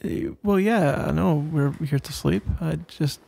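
Man acting a gravelly, flustered young best friend. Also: on the high side, darkish tone. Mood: flustered, embarrassed. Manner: halting, stammering, awkward, mumbling. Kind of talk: intimate ASMR audio roleplay.

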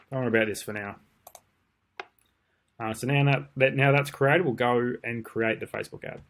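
A man's voice: lowish voice, gravelly, a little hiss or hum. A man, talking through a screen-share tutorial casually, with lots of ums and restarts. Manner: relaxed, rambling, many ums and restarts. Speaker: marketing tutor. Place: indoors at a desk.

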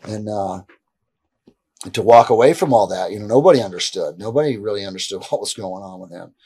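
Husky middle-aged man telling stories. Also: on the low side, a little fast. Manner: rambling, animated, frequent restarts. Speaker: interview guest. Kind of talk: casual storytelling in a shoot interview. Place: indoor room, seated on a leather couch.

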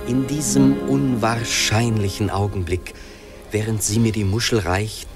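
An actor playing a grave storyteller who recites a Greek hero's words in a poetic, measured, declamatory radio drama style. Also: male voice, on the low side, fairly even intonation, natural voice. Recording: prominent music behind the voice.

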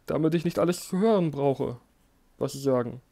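A man's voice: measured pace, creaky, dark tone. A man doing a dying old emperor crying out. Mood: desperate pleading. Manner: high strained cries, pleading. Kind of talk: storybook reading.

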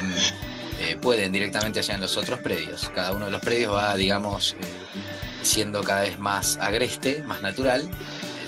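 Low-voiced Argentine man chatting over music. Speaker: guest camping video creator. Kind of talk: casual explanatory chat. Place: indoor room, video call.

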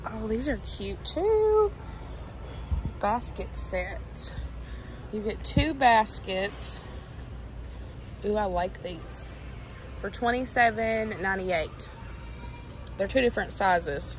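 An unhurried woman with a Southern US accent talks like a casual shopping vlogger. Her mid-pitched voice is informal and gushing as she remarks on items and prices. Clear background noise sits behind her. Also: lively intonation, rough recording. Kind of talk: casual vlog chat, remarking on products and prices.

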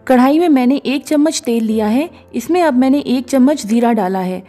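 A woman calmly narrating a cooking recipe step by step as a voice-over, slipping English words into her sentences. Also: high voice, moderate pace. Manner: calm, instructive, mixes English words into Hindi. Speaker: cooking show host. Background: soft background music.

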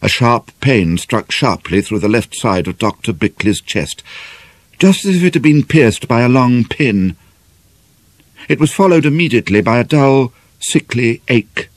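A man's voice: medium pitch, big pitch swings, measured pace, no room sound. A man with a British accent reading a novel aloud as a dramatic audiobook narrator.

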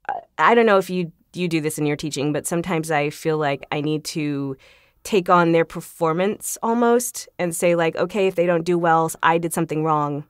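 A woman with a slightly low voice talks as a podcast guest in an earnest, chatty way. The sound is very dry, with no room around it. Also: clean tone, no echo. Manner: chatty, earnest, often chuckling. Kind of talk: casual interview chat.

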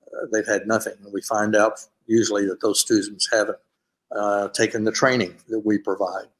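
An older man speaking as a university administrator on a video call panel, explaining his office's review process in a steady way with frequent fillers. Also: on the low side, moderate pace, poor audio. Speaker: panelist, graduate school administrator. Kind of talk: conference panel talk over video call.

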